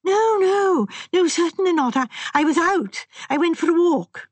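Lady doing a jittery young guy, stammery, squeaky high, pleading, dry close sound. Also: animated, measured pace, no room sound. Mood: distraught. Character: frantic young male architect, highly strung. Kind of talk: audiobook character reading.

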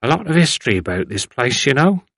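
A man's voice: mid-range voice, big pitch swings, fast, creaky, a bit bright, dry recording, pitched above the performer's own voice, full character voice. Man as a folksy, courteous old villager. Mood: solemn, folksy. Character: solemn, deferential oldest villager, a bearded old man.

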